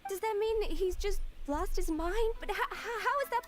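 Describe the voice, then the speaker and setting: Anxious high-voiced young woman. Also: normal speed, a little hiss or hum. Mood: distressed. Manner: distressed, stammering. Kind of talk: game dialogue.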